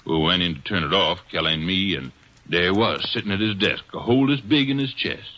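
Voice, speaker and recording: An actor playing a folksy night porter recounting events in an old radio drama. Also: male voice, deep voice, a little fast, dull audio, lightly acted. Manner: folksy, rambling. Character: plain older male night porter. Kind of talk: 1940s radio acting.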